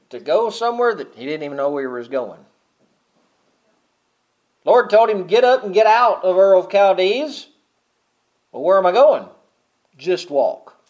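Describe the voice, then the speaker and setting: A middle-aged man preaching a sermon, talking casually and then swelling loud and high on key points. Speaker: pastor.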